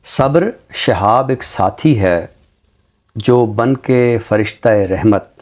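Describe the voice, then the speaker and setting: Low-pitched Pakistani man, deliberate literary speaker. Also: a little mellow. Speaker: literary show presenter and book reviewer. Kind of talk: measured literary talk with poetry recitation.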